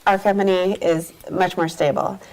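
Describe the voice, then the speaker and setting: A middle-aged woman chats easily about her work in a casual interview, upbeat and quick to laugh. Her voice sits a bit low and carries a gravelly fry. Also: steady tone, heavy background noise. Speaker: guest, company executive.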